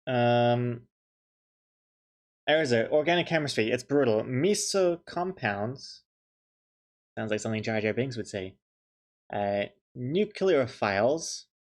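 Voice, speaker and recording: A man's voice: medium pitch, animated, takes it slowly, pauses often. A young man chatting casually on a stream, joking and thanking viewers as he goes. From New Zealand. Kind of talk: casual chat while drawing on stream.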